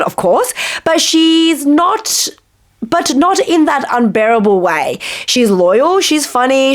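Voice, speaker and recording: Woman, slightly croaky voice, somewhat high pitch, wry and opinionated, casual book vlog. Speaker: book reviewer.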